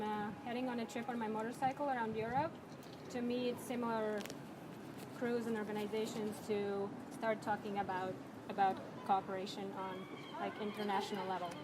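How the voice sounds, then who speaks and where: A woman with a fairly high voice gives an organizer's introductory talk at a live panel event. There is clear background noise around her speech. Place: live panel discussion at a sports festival. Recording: clearly noisy event ambience.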